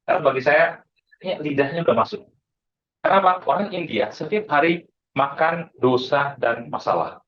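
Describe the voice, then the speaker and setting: A man's voice: fairly high pitch, moderate pace. Indonesian male lecturer, dull lo-fi sound. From Bengkulu, Indonesia. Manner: conversational, frequent checking tags like "ya". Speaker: university lecturer. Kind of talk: informal lecture to students, mixing in English phrases.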